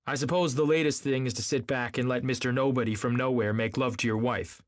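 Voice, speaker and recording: A man's voice: mid-range voice, animated, brisk, pitched above the performer's own voice, natural voice. Man acting a pompous, blustering rich husband. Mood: indignant, pompous. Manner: snapping, pompous, incredulous. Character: arrogant, indignant, blustering wealthy husband, a grown man.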